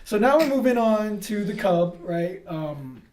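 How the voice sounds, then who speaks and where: A man's voice: sits high, big pitch swings, unhurried, crowd noise. A man hosting a casual, slangy conversational interview. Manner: slangy, peppered with "man", "dude" and "like". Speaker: podcast host. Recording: roomy, echoing sound.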